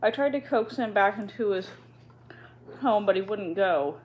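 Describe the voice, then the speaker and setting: A woman's voice: medium pitch, lively intonation, unhurried, muffled recording, close to an everyday voice. A woman reading aloud voices a timid, nervous young schoolgirl with an anxious, breathless manner.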